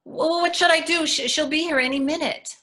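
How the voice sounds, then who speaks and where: A woman's voice: high-pitched, fairly even intonation, a little fast, bright, forceful tone. A woman acting an anxious, clingy mother-in-law in a readers theatre play, stammering and pleading as she speaks. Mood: flustered.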